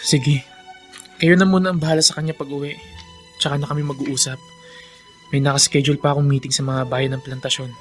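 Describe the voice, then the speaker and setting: An actor in a Filipino radio drama playing an earnest plantation master and older brother, authoritative yet placating. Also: male voice, on the high side, little pitch movement, a little fast, pauses often, faint music behind, close to an everyday voice. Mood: calm, apologetic.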